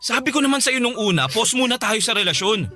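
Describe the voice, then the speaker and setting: A man's voice: very high voice, lively intonation, fast. A man playing an impatient, dismissive ex-boyfriend in a radio drama, speaking with exasperated emphasis. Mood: defensive, exasperated. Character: exasperated, evasive career-minded ex-boyfriend, curt and impatient. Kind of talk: Filipino radio drama acting. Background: background music.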